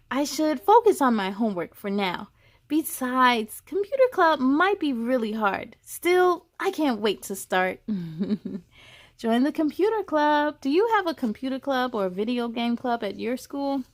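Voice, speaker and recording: Female children's read-aloud host, high voice, expressive storybook delivery, engages young listeners directly. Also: a little slow.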